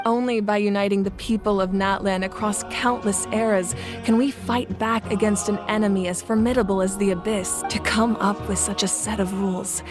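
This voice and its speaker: An actress playing a composed young female ruler-god in a video game, speaking in a measured, earnest, caring way. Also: medium pitch, natural voice. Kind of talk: video game dialogue, storytelling.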